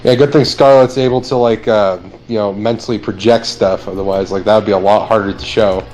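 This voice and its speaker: A man playing a relaxed superhero who trades dry quips in an improvised, in-character chat, with plenty of drawn-out fillers. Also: on the low side, natural voice, low-quality recording. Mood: easygoing banter. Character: laid-back male superhero veteran, wisecracking.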